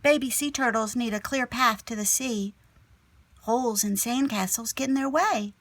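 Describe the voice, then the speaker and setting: A woman's voice: a little high, normal speed, fairly bright tone, no room sound, voice pushed up. A woman voicing a helpful, knowing young schoolgirl in a storybook, earnest and caring. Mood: earnest, informative. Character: helpful, knowing schoolgirl classmate, concerned for baby animals.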